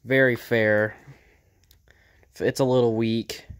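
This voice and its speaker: A man giving a casual, off-the-cuff narration as a hobbyist repairer, speaking informally and haltingly into a handheld camera in an indoor workshop. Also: mid-range voice, little pitch movement, clear, resonant tone. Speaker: vintage TV repair hobbyist narrating his video.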